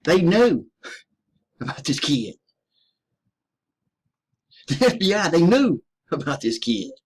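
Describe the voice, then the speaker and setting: A man's voice: a little high, very animated, slow pace, husky. A man from the United States, a military veteran, delivering a profane, emphatic ranting monologue to his listeners. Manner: profane, emphatic, addresses listeners as ladies and gentlemen.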